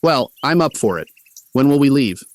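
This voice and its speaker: A man's voice: medium pitch, expressive, moderate pace, no room sound, lightly acted. A man acting an easygoing, slightly stressed college-age artist in an audio drama, talking casually with some hesitation. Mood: upbeat. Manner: casual, hesitant slangy talk.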